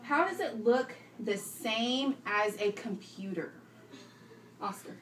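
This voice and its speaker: Lively woman teacher in a roomy classroom. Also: a little high, slow pace. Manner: instructive, prompting and repeating pupils' answers. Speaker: teacher reading aloud to a class of young children. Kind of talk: classroom read-aloud with questions to pupils. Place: indoor classroom, recorded from a distance. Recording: roomy, distant classroom recording with faint noise.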